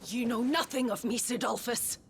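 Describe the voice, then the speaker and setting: A woman's voice: on the high side, moderate pace, slight background noise, lightly acted. Woman acting a haughty, seductive fantasy villainess. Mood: indignant. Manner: haughty, theatrical, breathy at times. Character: proud, seductive, bitter female sorceress adversary. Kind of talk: cinematic fantasy game acting.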